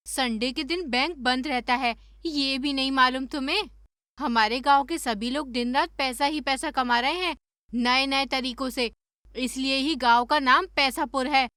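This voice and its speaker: Woman playing a shrill, nagging village wife. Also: unhurried, sharp tone, no room sound, studio quality. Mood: mocking, scolding. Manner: scolding, sarcastic. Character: nagging, sarcastic village wife, sharp-tongued. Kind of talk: Hindi cartoon story dubbing.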